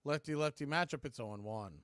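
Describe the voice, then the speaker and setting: Male play-by-play baseball announcer. Crisp, slightly high voice, very dry sound, clipped live calls. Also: a little fast, a bit bright, no room sound. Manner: clipped phrases, calls rising on the action. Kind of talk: live play-by-play commentary on a stream.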